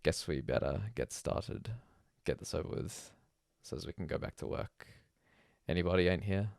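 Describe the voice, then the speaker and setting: A man's voice: low voice, lively intonation, moderate pace, vocal fry, darkish tone, no echo, studio quality, voice pushed up. A man voicing a sober, plain-spoken village official who formally addresses a gathering in a storybook reading. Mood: sober, brisk. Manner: folksy, sober, formal calling out.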